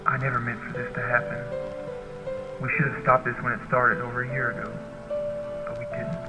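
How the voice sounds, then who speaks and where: A man acting a calculating, persuasive businessman in an audio drama, speaking in a measured way. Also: medium pitch, completely flat delivery, close to an everyday voice, low-quality recording. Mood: pleading, persuasive. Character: calculating businessman, lover of his brother's wife. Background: loud background music.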